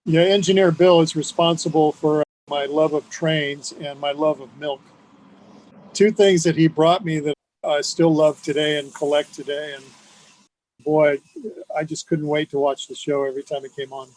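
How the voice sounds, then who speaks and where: A man's voice: fairly high pitch, fairly even intonation, normal speed, a little mellow, low-quality recording. A man reminiscing casually and enthusiastically as a fan being interviewed. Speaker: interview guest, fan of an old show.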